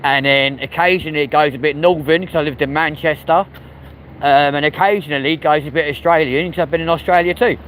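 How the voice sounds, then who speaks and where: A man's voice: on the high side, fairly even intonation, fast talker, a bit bright, a little hiss or hum, rough recording. Chatty London man hosting a live stream. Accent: London, with a mix of areas. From South West London, England. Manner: chatty and jokey, reads chat comments aloud. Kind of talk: casual live-stream chat. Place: outdoors on a city street.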